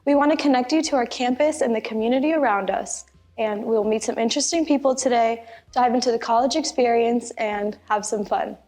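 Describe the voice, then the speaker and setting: A young woman, a student host, reading scripted introductions in an even way. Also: fairly high pitch, brisk, soft background music. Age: young adult. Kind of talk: scripted presenting.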